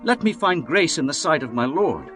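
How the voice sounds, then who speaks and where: A man voicing Jacob, an anxious older patriarch who pleads humbly, over soft music. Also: on the high side, very animated, moderate pace, voice pushed up. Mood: pleading.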